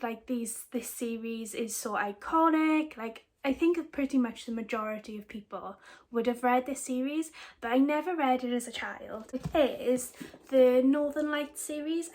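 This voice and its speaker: A young woman with a Welsh accent, chatting casually and rambling a little as she shows off a book haul. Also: fairly high pitch. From Wales. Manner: chatty, rambling, giggly asides. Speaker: book vlogger.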